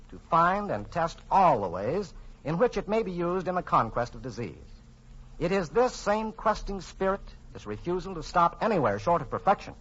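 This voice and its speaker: A male radio announcer of the 1940s with a fairly high, very lively and slightly rough voice. He reads formally and emphatically on a muffled old recording. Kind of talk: 1940s sponsor announcement, scripted narration.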